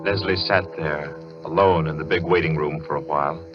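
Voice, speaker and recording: Very deep-voiced suspense narrator. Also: male voice, steady tone, a little fast, over loud music. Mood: ominous, wry. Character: ominous male storyteller of a suspense tale. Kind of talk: dramatic old-time radio narration. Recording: muffled, noisy vintage radio recording.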